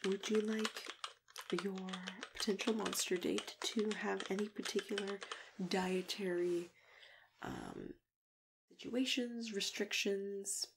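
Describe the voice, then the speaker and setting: A woman playing a kindly, patient matchmaker in an ASMR roleplay, speaking softly and often whispering in a gentle, hesitant way. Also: medium pitch, sing-song, slow, full character voice. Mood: tactful, hesitant. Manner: gentle, hesitant, murmured acknowledgements. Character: kindly, patient female monster matchmaker interviewing a client. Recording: clear background noise, keyboard typing and clicks. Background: computer keyboard typing.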